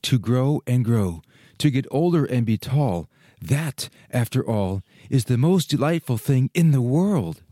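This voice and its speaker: Storybook role: discontented young tree played by a man, soft-edged mellow voice, wistful and stately, longing to be tall. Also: medium pitch, expressive, unhurried, dry recording, clean studio sound.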